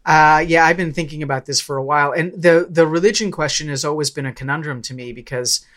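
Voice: mid-range voice, fast, a bit bright. A person in a casual, reflective podcast discussion, thinking aloud hesitantly and correcting themselves as they go.

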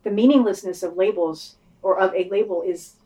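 A woman's voice: mid-range voice, moderate pace, dark tone. A woman talking informally and with opinion as a panelist in a casual podcast discussion, swearing freely.

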